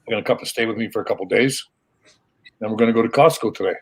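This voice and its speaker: A man's voice: on the low side, rapid-fire, slightly rough. Easygoing man on a call. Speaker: guest. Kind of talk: casual chat.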